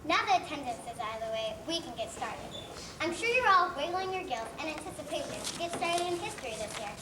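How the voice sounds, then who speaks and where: A woman playing a prim, dismissive fish schoolteacher, brisk and stern, in a children's stage play. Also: high-pitched, very animated, far from the mic. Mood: brisk, formal. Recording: echoey, noisy hall from afar.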